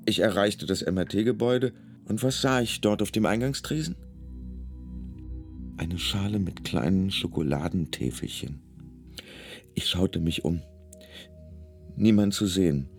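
A man's voice: sits low, unhurried, pauses often, soft background music. A man playing an anxious, wry hospital patient, telling his story in a dry, musing first-person radio play narration. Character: anxious, wry, hungry male emergency-room patient.

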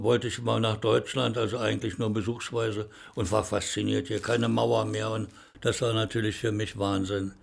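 Gravelly older German man reminiscing. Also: lowish voice. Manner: theatrical, calls listener darling. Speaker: interviewee. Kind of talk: reminiscing interview.

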